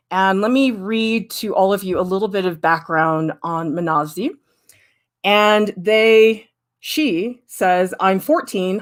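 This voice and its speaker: A middle-aged woman art professor giving a candid, lively livestream critique and answering viewers' questions. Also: mid-range voice. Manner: candid, lively, emphatic, with frequent "I mean".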